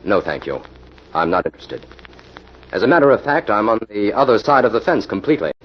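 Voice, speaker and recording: An actor playing a composed, dryly amused male scientist-sleuth with a measured, firm manner in an old radio mystery. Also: fairly low pitch, very animated, breathy edge. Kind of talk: 1940s radio mystery acting. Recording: muffled, noisy old radio recording.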